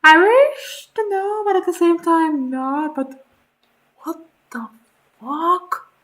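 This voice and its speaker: A woman streamer giving animated, sweary, exclaiming reaction commentary on a game. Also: extremely high pitch, slow.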